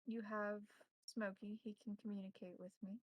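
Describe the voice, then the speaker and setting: A woman playing a courteous, cryptic vampire priest and advisor, speaking formally in measured, halting phrases in a tabletop roleplaying game. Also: medium pitch, level tone, no room sound, muffled recording, close to an everyday voice.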